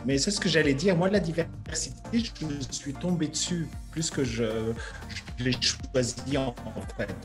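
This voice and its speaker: Effusive middle-aged man with music behind. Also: on the high side. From Lebanon. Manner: effusive, halting with repeated words, occasional English words. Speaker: guest, fashion creative director. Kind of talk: enthusiastic conversational interview. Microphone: remote video call.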